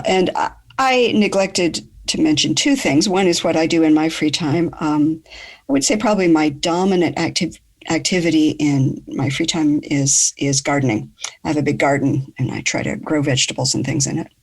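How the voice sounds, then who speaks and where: Older woman hosting, slightly low voice. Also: normal speed. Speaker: podcast host. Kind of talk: moderating a group discussion.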